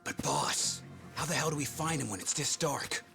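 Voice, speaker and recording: Game henchman: an anxious, servile underling, creaky higher voice, music behind. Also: expressive, normal speed. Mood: nervous. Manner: hesitant, servile. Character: nervous, deferential gang underling, man. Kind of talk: video game cutscene acting.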